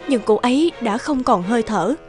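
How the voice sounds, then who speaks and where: A woman's voice: a little high, animated, normal speed, soft background music, natural voice. A woman playing a concerned, earnest hospital medic in a dubbed cartoon drama. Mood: sorrowful.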